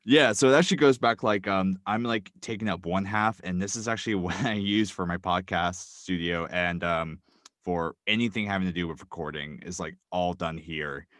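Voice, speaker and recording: A man's voice: low-pitched, normal speed, a bit bright, no room sound. A man talking as a guest in a casual chat, rambling with lots of ums and likes, recording in a small closet with a blanket-wrapped mic. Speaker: podcast guest. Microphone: microphone wrapped in a blanket. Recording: dry, deadened closet sound.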